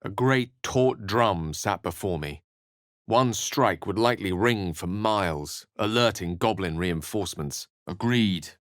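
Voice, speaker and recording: An actor playing an erudite, courteous wizard in a fantasy video game, speaking in an eloquent, formal and dramatic way, with wry and melancholy shades. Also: male voice, low-pitched, unhurried, a bit bright, dry recording, clean studio sound. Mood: measured, wary. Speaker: video game companion character. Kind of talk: theatrical fantasy game dialogue and narration.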